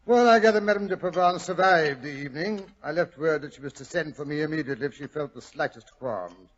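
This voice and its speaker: Male actor: excitable, proper British gentleman companion, higher-pitched and husky, vintage radio drama. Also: quick pace, lightly acted. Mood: puzzled, conversational. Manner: blustering, exclamatory, proper. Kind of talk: 1940s radio acting. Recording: muffled 1940s radio broadcast.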